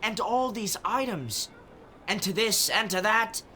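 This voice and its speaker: A woman's voice: mid-range voice, animated, measured pace, pushed and bright, a little hiss or hum. An actress playing a practical woman investigator who questions things matter-of-factly. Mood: puzzled.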